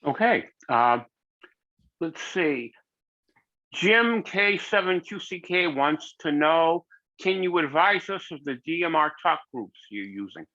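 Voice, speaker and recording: A man with a fairly high, bright, forceful voice relays audience questions as moderator. The recording is dull and lo-fi. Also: big pitch swings, slow pace. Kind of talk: reading out questions in an online session. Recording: dull, lo-fi microphone sound.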